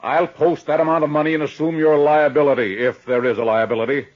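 A man's voice: on the high side, steady tone, fast, close to an everyday voice. An actor playing an irascible, domineering old rich man from his sickbed in a 1950s radio drama, curt and imperious. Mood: hard, bargaining. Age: older. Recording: muffled, dull 1950s radio recording.

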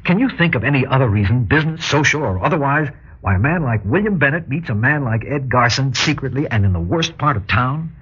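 An actor playing an earnest, insistent private detective in an old-time radio drama, speaking in a husky, urgent, persuasive way with formal address. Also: male voice, medium pitch, animated, a little fast, fairly bright tone. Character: earnest, insistent male private detective warning his client. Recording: dull, lo-fi vintage radio recording.

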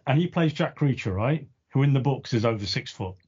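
A man's voice: medium pitch, lively intonation, a little fast, a little mellow, slightly rough, muffled recording. A man co-hosting a casual podcast chat, talking in a playful, teasing way. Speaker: podcast co-host.

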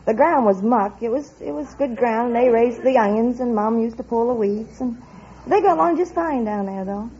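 A woman's voice: a little high, moderate pace. A middle-aged American woman from Pennsylvania chatting as a contestant on a radio quiz show, plain-spoken and folksy. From Pennsylvania, United States. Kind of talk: unscripted chat with a host. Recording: muffled old radio recording, loud audience noise. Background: loud studio audience.

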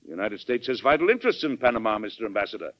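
An actor playing a hearty, forceful American president, emphatic and statesmanlike, in an old-time radio drama. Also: male voice, lowish voice, sing-song, racing. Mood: firm, forceful. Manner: emphatic, declamatory. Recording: dull vintage radio recording.

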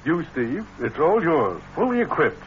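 Animated, kindly wealthy older benefactor. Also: male voice, fairly high pitch, measured pace. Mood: genial, pleased. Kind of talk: 1940s radio drama acting. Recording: muffled, faintly noisy old radio recording.

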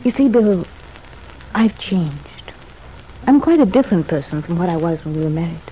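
An actress playing a contrite, earnest young ex-wife in an old-time radio soap opera, speaking in a confiding, measured way. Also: female voice, fairly low pitch, lively intonation, muffled recording, close to an everyday voice. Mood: earnest, apologetic. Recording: noisy, band-limited vintage radio recording.

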